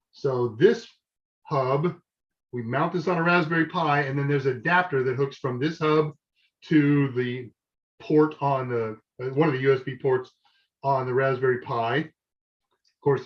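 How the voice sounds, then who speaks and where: A man hosting a live tech Q&A stream, chatty and enthusiastic as he shows off gadgets and welcomes guests. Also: fairly high pitch. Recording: dull, lo-fi stream audio.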